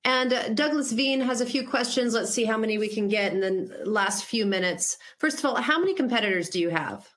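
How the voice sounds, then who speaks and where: A woman's voice: fairly high pitch, brisk, few pauses, fairly bright tone. A woman moderating a conference, politely reading out audience questions to a company executive.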